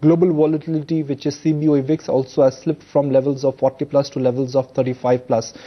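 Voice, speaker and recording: A man's voice: mid-range voice, level tone, fast talker, muffled recording. A man with an Indian accent interviewing as an anchor on live financial news.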